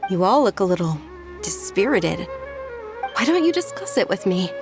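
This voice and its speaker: A woman's voice: medium pitch, sing-song, normal speed, over music. A woman voicing a gracious, perceptive young noblewoman in scripted game dialogue, speaking politely and with composure. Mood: concerned, attentive. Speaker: video game character voice.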